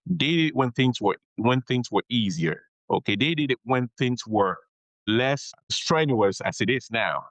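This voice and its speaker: A man's voice: medium pitch, animated, measured pace, fairly bright tone, dry recording, poor audio. A man being interviewed on a podcast as an immigration attorney, explaining things patiently, repeating his key advice and often checking in with "okay?".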